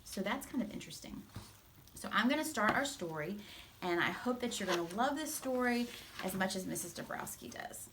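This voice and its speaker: A woman teacher reading a storybook aloud to children, expressive and instructive, pausing to explain things simply. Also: mid-range voice, background noise.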